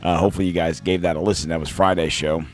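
A man hosting a podcast in a casual, rambling monologue, his voice hoarse from throat trouble, reading sponsor spots along the way. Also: deep voice, steady tone, racing, soft background music. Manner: rambling, self-deprecating, reads sponsor ads. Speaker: podcast host. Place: home podcast studio.

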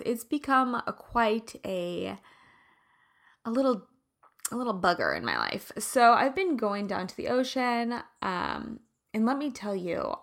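A woman hosting a casual personal chat, her voice raspy from allergies, chatty and laughing often. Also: mid-range voice, bright, forceful tone.

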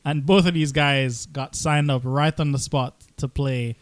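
A man's voice: mid-range voice, fairly even intonation, unhurried, clean tone, no room sound. A man co-hosting a podcast with slangy, joking, riffing banter. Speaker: podcast co-host. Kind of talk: casual banter.

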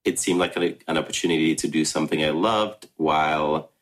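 A man in his thirties from Ghana, with Russian as his first language, speaks in an extremely low voice. He answers interview questions in a reflective, meandering way. He often pauses, restarts and fills gaps with "um.". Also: steady tone. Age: 30s. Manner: reflective, many ums and restarts. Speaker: filmmaker.

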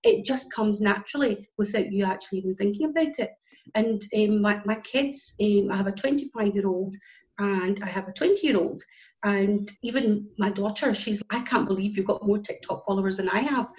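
A Scottish woman in her forties who talks with earnest emphasis, leaning into each point as she explains herself. Her voice sits at a middle pitch. The audio is dull and lo-fi. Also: normal speed, a little mellow. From Scotland. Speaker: guest, business connector. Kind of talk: casual interview chat.

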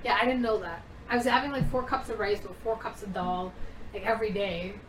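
Animated young woman, roomy and off-mic. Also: medium pitch, fairly quick, distant mic. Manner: animated, acts out quotes, goes off on tangents. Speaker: comedian and actress guest. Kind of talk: casual storytelling chat. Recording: roomy echo, off-mic, faint noise.

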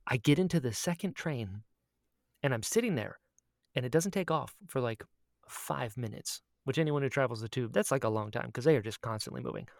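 A woman's voice: rumbling low, a little fast, vocal fry, dry recording, clean studio sound. A woman co-hosting a podcast, telling a story casually and expressively, often acting out her own remarks. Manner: animated, expressive, quotes herself dramatically. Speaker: podcast co-host.

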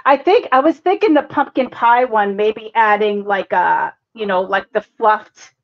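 A woman's voice: medium pitch, measured pace. A woman from Boston with a Boston accent, hosting a casual livestream chat. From Boston, United States. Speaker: host. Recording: slightly dull, lo-fi audio.

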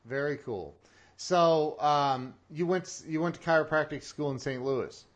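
Excitable man hosting an interview. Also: on the high side, measured pace. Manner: excitable, stumbling restarts, laughs easily. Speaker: podcast host. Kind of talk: casual interview chat.

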